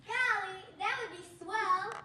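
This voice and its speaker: A woman's voice: very high voice, a little slow. A young female performer playing a wholesome, eager girl in an old-time radio show on stage. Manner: gee-whiz, earnest. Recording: very echoey stage, distant mic, faint noise.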